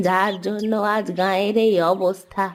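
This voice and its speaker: A woman's voice: medium pitch, little pitch movement, normal speed, lightly acted. A woman voicing a scheming old village midwife, plaintive and insistent, in a cartoon story. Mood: insinuating. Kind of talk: Bengali cartoon story dialogue. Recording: noisy background with birdsong.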